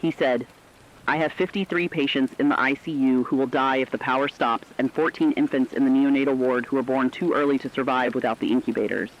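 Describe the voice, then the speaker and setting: A man playing a weary, compassionate veteran radio journalist, delivering a scripted monologue with solemn, earnest, deliberate phrasing over a dull radio sound. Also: mid-range voice, steady tone, a little hiss or hum, lightly acted. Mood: grave, resolute.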